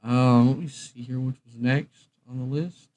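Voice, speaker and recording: A man's voice: medium pitch, fairly even intonation, a little slow, darkish tone. A man hosting a livestream, chatting casually and talking straight to his listeners in an emphatic, rhetorical way. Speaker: livestream host sharing music. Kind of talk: casual opinionated livestream chat.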